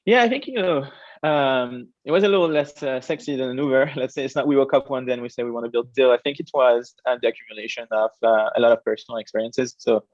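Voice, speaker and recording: A young man from France, a startup co-founder, answering interview questions quickly and animatedly, with frequent fillers. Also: mid-range voice, a little mellow, no room sound. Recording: dull, dry lo-fi audio.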